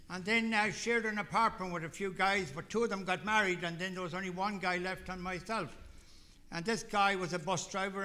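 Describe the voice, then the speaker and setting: A man's voice: high-pitched, bright, forceful tone. An older man from Ireland with an Irish accent, telling his own recovery story to a meeting in a folksy, rambling way. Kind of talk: personal testimony talk.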